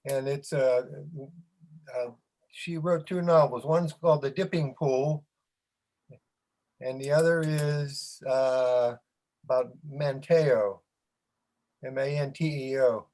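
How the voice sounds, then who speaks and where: A man's voice: mid-range voice, steady tone, slow pace, pauses often, a little mellow, low-quality recording. A man chipping into a casual group discussion on a video call. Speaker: panel participant. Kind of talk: casual discussion, spelling out names.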